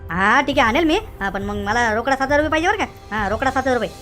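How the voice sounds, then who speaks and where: A hurried cartoon go-between, a man played by a woman, very fast and fairly high in voice. He is impatient and money-minded. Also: animated, a bit bright. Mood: bargaining. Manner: impatient, repeats phrases. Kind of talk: cartoon comedy dialogue. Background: faint music.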